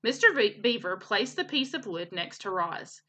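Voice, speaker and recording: Female teacher, children's read-aloud narration, animated pitch movement, bright crisp tone. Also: normal speed, slight background noise.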